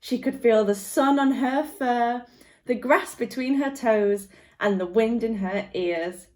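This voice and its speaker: Slow-paced woman reading a children's storybook. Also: on the high side, a little mellow.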